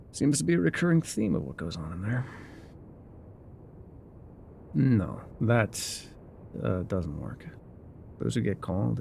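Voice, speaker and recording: A man's voice: on the low side, creaky, darkish tone, slight background noise. A man playing an enigmatic, wry guide through dangerous wilds in an intimate ASMR roleplay, musing, teasing and often trailing off.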